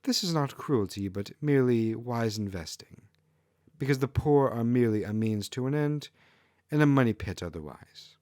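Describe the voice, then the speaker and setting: A man's voice: medium pitch, expressive, moderate pace, darkish tone, no echo, clean studio sound, natural voice. A man voicing an arrogant, callous female fox kitsune ruler in a haughty, dismissive manner for a fantasy story. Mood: haughty, scornful.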